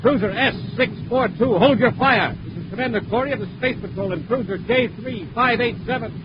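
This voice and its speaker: A level-headed commander of a space patrol in a 1950s radio serial. He is decisive and talks fast at a middle pitch. The old recording is dull, with very heavy noise. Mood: urgent, commanding.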